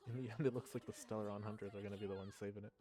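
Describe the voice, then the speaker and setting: A man casually commenting as he plays through a story game, thinking aloud in halting, musing remarks. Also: lowish voice, steady tone, dark tone. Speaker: let's play host. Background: game music.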